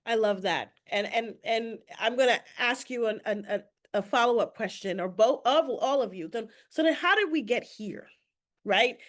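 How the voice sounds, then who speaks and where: A woman's voice: fairly high pitch, normal speed. A woman moderating a webinar, asking panelists follow-up questions, stumbling a little over words.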